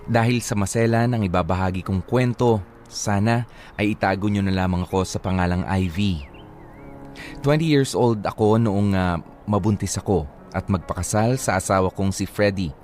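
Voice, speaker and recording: A man narrating an unhappy wife's letter for a radio drama in a measured, earnest way. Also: fairly low pitch, darkish tone, slight background noise, close to an everyday voice. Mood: solemn.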